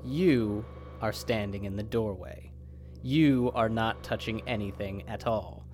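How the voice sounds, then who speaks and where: A man's voice: on the low side, a little mellow, slight background noise, close to an everyday voice. An actor playing a stern male agent who gives curt, commanding orders. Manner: clipped, commanding, slow. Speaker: agent searching a room.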